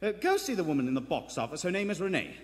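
An actor playing an anxious, protective young police detective in a radio play on a theater stage. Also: male voice, high-pitched, sing-song, fast. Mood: tense, covering up. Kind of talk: 1940s radio play acting. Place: theater stage in front of an audience. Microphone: standing stage microphone. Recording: live theater stage, long reverb, music behind.

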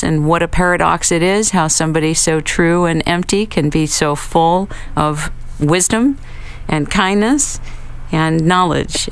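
A woman's voice: lowish voice, clear, resonant tone, poor audio. A woman hosting a webcast, opening the segment in an effusive, announcer-like manner and then interviewing her guest. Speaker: webcast host. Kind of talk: scripted show introduction and interview.

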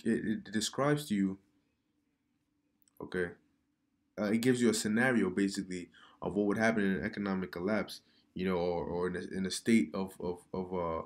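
Male commentator, lowish voice, stumbling, preachy delivery, talking into a desk mic while reading on screen. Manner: halting, repeats words, many fillers; preachy, addresses viewer as man. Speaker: online commentator. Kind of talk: casual religious commentary reading news. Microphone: computer mic during screen recording.

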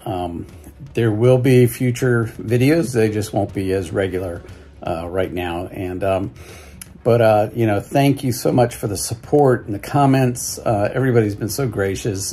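An older man with a lowish, mellow voice talks straight into a close phone camera in his living room. He speaks in a halting, casual way, full of fillers and restarts, as a soft music bed plays faintly underneath. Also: slightly rough. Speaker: hiking vlogger. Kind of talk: casual update to viewers. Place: living room at home, indoors. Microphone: phone or webcam at close range.

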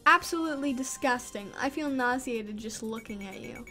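A woman's voice: a little high, moderate pace, pushed and bright, faint music behind. A woman voicing a stern, prickly studious teenage girl who scolds curtly. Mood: scornful. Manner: curt, exasperated scolding.

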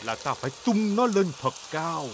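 A man voicing a big, jolly, boastful father pig in a children's cartoon dub, with a showy, unhurried manner. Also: a little high, sing-song, vocal fry, very noisy. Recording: loud music under the voice.

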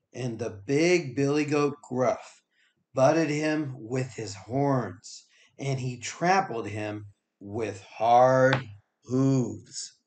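A man's voice: mid-range voice, a little mellow. A middle-aged man narrating a children's storybook expressively, as a teacher reading aloud.

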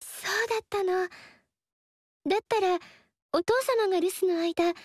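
An actress voicing a gentle, friendly young noblewoman in a video game, talking warmly and chattily. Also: very high-pitched, fairly even intonation, measured pace, dry recording, studio quality. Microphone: studio mic, dry.

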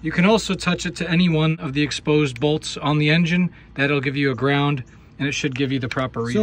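A man's voice: fairly high pitch, a little hiss or hum. Methodical man explaining a repair. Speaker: channel host demonstrating repairs. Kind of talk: instructional walkthrough.

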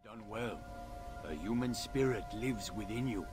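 An actor playing a proud, solemn alien native warrior guide in a video game, speaking formal, clipped English. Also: male voice, on the low side, measured pace, music in the background, natural voice. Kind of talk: video game character acting.

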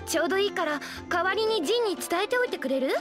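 Very high, breezy townswoman. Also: expressive, fast talker, over music. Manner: ladylike, casual speech. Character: breezy, carefree young townswoman, feminine and presuming. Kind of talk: Japanese game voice acting.

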